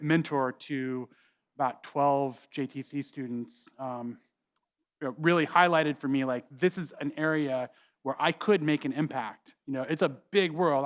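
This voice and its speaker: A man who leads a small company, speaking reflectively on a panel with many restarts. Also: mid-range voice. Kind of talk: panel discussion answer.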